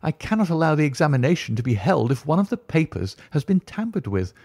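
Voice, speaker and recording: A man's voice: medium pitch, very animated, moderate pace, dark tone, slightly rough, no echo, studio quality, voice pushed up. A man voicing an agitated, fussy male college tutor in an audiobook, pleading and anxious.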